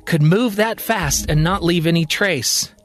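Man acting as wary, commanding former sergeant, high-pitched. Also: unhurried, faint music behind, close to an everyday voice. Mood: baffled. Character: Mason, tense male former sergeant on guard. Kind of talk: acted dialogue in audio drama storytelling.

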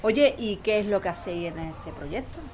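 Woman acting cheerful football-mad neighbour, Andalusian accent, medium pitch, folksy, effusive, radio fiction, slight background ambience. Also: expressive, moderate pace, a little mellow, slight background noise, lightly acted. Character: chatty, cheerful office-worker mother, keen football fan. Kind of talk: conversational audio fiction acting.

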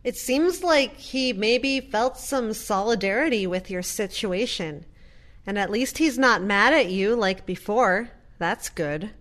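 A woman playing a gentle, earnest daughter in an audio play, measured and reflective. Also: mid-range voice. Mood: conciliatory. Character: gentle, earnest daughter visiting her mother in care.

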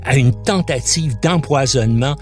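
A man's voice: medium pitch, moderate pace, darkish tone, faint music behind. A man narrating a scripted documentary as a podcast host, in a dramatic storytelling manner.

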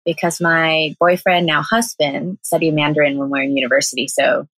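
A woman's voice: low voice, steady tone, fairly quick, fairly bright tone, no echo, rough recording. A young woman from Southern California with an American accent, chatting casually as an interview guest, laughing often and using lots of "like". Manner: chatty, laughing, many "like" fillers. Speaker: guest, vegan cafe owner.

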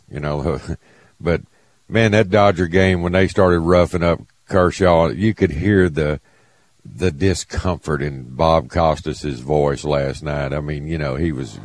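A man's voice: a bass voice, unhurried. A man hosting a radio talk show, chatting in a folksy drawl and often exclaiming "boy". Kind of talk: casual radio chat. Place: radio studio.

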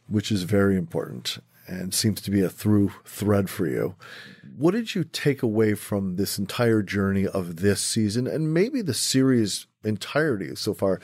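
A man's voice: fairly low pitch, a little mellow, no room sound, studio quality. A man hosting a podcast, asking questions in a conversational interview style.

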